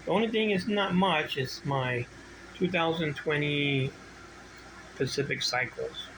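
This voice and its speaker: Rambling mature man, noisy background. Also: a little high. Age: middle-aged or older. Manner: rambling, halting, self-interrupting. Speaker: bicycle collector showing his collection. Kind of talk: casual unscripted video walkthrough. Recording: clear steady background noise, ambient hum.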